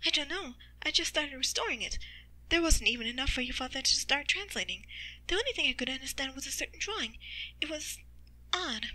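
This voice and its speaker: Lady voicing a storybook animal mom, scholarly, unsure, high bright voice. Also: expressive, brisk, full character voice, low-quality recording. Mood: uncertain recollecting. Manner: tentative, considered. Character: thoughtful mother animal, a scholarly document restorer.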